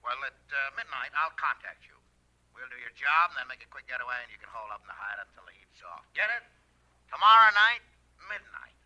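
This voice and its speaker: An actor playing a tough, sly gangster in 1940s radio drama, giving clipped, slangy orders over a telephone. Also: male voice, mid-range voice, expressive, fast talker, bright, forceful tone, muffled recording. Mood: conspiratorial. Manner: clipped, slangy, punctuated with "see?".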